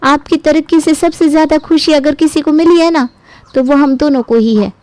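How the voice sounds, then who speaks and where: Fawning younger sister-in-law woman acting, high-pitched, imploring, falsely sorry, cartoon soap tale, close dry recording. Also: steady tone, normal speed, darkish tone, no room sound, lightly acted. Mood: pleading, flattering. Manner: pleading, ingratiating. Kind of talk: Hindi family drama cartoon storytelling.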